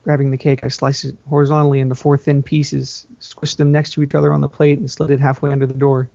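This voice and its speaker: A man's voice: medium pitch, monotone, fast, gravelly, warm tone, no echo, highs cut off. A man reading fan fiction aloud as a first-person narrator.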